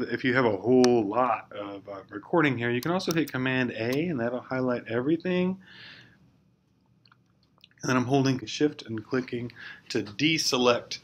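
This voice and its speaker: A man giving an informal, step-by-step software tutorial in a hesitant, explanatory way. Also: medium pitch. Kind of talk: informal screencast walkthrough. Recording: clear background noise, amateur screencast.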